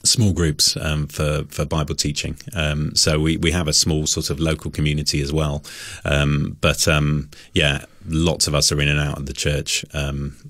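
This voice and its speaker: A man with an extremely deep voice talks casually as a radio interview guest. He rambles gently and hesitates as he goes. The sound is close and dry, with hardly any room around it. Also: flat delivery, moderate pace, hardly any pauses, dry recording. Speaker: interview guest, church musician.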